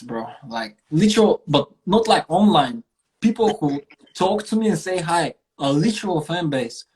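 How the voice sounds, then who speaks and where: A man's voice: fairly high pitch, darkish tone, poor audio. Earnest, slangy man from Bulgaria. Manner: slangy, swearing, earnest, emphatic. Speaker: interview guest, singer and street performer. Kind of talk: casual interview storytelling.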